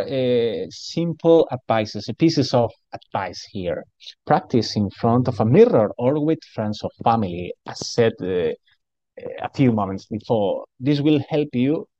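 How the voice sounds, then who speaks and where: A man's voice: mid-range voice, mellow, no room sound, highs cut off. A man with a strong Spanish accent talks over training slides in a chatty way, often asking "okay?" and saying things twice. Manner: chatty, repeats himself, asks "okay?". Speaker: pitch trainer.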